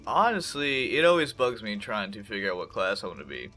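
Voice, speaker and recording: A man's voice: on the low side, very animated, brisk, bright, forceful tone, faint music behind. Stuffy-nosed, foul-mouthed gamer man. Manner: profane, joking, talks back to the game. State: congested. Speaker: gameplay commentator. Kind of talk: casual let's-play commentary.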